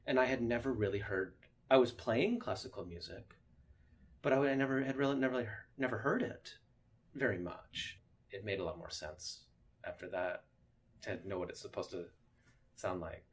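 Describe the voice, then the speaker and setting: A man's voice: fairly low pitch, moderate pace. A man telling personal stories casually in an interview, often restarting his sentences. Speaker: musician interviewee.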